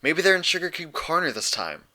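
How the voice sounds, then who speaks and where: Storybook role: brash, defensive pegasus mare, blunt and sarcastic, pitched up, sharp-edged male reader performing. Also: big pitch swings, a little fast, full character voice. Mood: sullen, sarcastic. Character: brash, defensive young female pegasus pony, stubborn and hurt.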